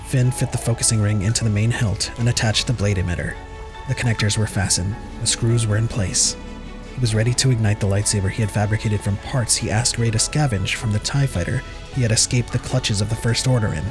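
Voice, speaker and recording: A man narrating a fan audio drama in a scripted, dramatic storybook style. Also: on the low side. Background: prominent music.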